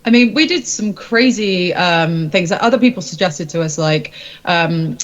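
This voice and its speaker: A woman's voice: on the low side, expressive, moderate pace, muffled recording. A woman, a singer-songwriter, chatting casually and informally in an interview in a rambling way.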